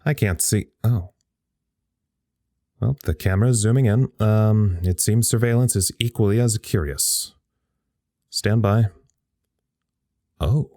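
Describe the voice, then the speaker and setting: A man playing a wry, tired research scientist reporting live in an audio drama, halting and understated. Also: on the low side, dark tone, no room sound, studio quality. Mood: dry, flustered. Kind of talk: audio drama acting as a live radio log.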